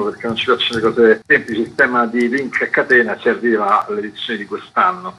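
A man's voice: medium pitch, bright, forceful tone, slight background noise, low-quality recording. A man speaking conversationally as a festival curator being interviewed on the radio, explaining things.

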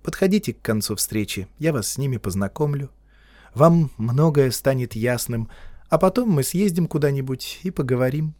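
A man voicing a poised, proud woman near forty who speaks softly with a lightly mocking edge. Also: mid-range voice, lively intonation, normal speed, no echo, studio quality, lightly acted. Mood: teasing.

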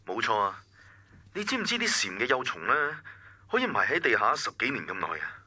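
An actor playing a caring, gently persuasive male confidant in a radio drama, with a Hong Kong Cantonese accent. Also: medium pitch, wildly swooping pitch, a little fast, husky, dry recording, full character voice.

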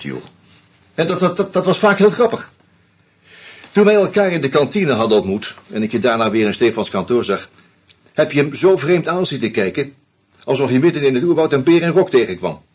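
An actor playing a shrewd screenwriter who methodically unmasks a killer, with a husky voice and dry irony. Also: male voice, mid-range voice, expressive, fairly quick, darkish tone. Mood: accusing. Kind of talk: radio play acting.

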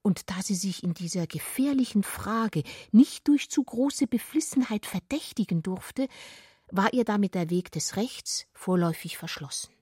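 A woman reading literary narration for a radio play in a measured, articulate, expressive way. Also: medium pitch, breathy edge, dry recording, studio quality.

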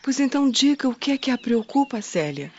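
A woman's voice: high-pitched, very animated, brisk, a little mellow. A woman acting a tender, grieving Roman mother in a radio drama with formal diction. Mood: wistful, tender. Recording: muffled recording with outdoor ambience noise.

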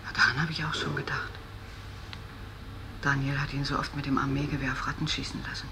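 An actress playing a frightened, despairing soldier's wife in a radio drama, breathy and half-whispering her laments. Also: a bass voice, little pitch movement, brisk. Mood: distraught, fearful. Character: frightened, despairing soldier's wife who has been robbed. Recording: noisy background ambience.

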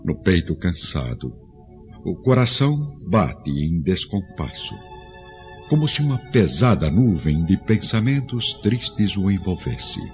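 A man narrating a radio drama in a measured, solemn way. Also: sits low, big pitch swings, soft background music. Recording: dull lo-fi audio with faint score.

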